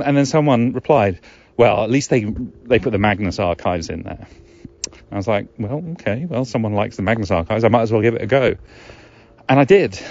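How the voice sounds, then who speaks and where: A man's voice: lowish voice, a little mellow, a little hiss or hum. A man hosting a podcast alone, talking in a casual, chatty, digressive way and often correcting himself. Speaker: podcast host. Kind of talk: casual rambling solo podcast talk. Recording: slightly dull, lo-fi sound.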